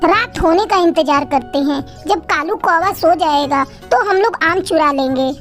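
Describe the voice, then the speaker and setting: A very high, small cartoon bird voice from a woman, playing a bossy mother-in-law sparrow. She advises and schemes in a familiar, motherly way. Faint music plays underneath. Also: normal speed. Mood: cautious, scheming. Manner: advising, addresses her daughter-in-law familiarly. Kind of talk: Hindi children's cartoon acting.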